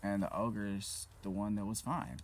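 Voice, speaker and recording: A man's voice: lowish voice, steady tone, normal speed. A man giving casual, profane gameplay commentary, griping in exasperation as he plays a shooter. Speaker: gaming video creator playing a shooter. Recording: clear game noise behind the voice. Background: shooter game sounds.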